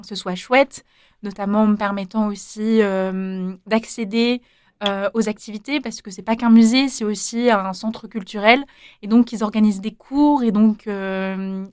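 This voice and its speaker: A woman's voice: mid-range voice, clear, resonant tone, no room sound, studio quality. A young woman answering interview questions casually, hesitant, with many fillers and restarts. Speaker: interviewee, former volunteer.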